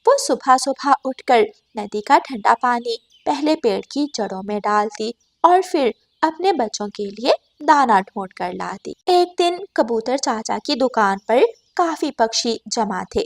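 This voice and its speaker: A woman's voice: a little high, lively intonation, unhurried, hardly any pauses. A woman reading scripted narration for a children's cartoon story.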